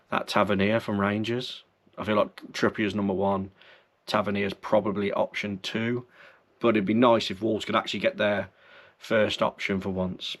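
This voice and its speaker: Croaky guy rambling thru football news, lowish voice, keeps correcting himself. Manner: chatty, rambling, self-correcting. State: slightly croaky, under the weather. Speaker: football fan channel host. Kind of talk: casual fan news chat.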